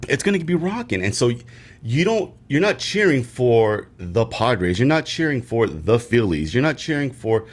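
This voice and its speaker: A man co-hosting a casual sports podcast, rambling through opinions with many fillers and restarts, growing emphatic when excited. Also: medium pitch, normal speed. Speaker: podcast co-host.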